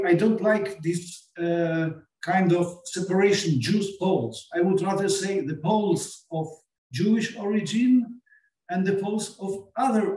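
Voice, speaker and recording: A man's voice: sits high, mellow. Earnest Polish-accented man, echoey room. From Poland. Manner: earnest, searching for words with many fillers. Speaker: film panel guest. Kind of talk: panel conversation. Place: small meeting room with sofas. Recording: echoey room, voice far from mic.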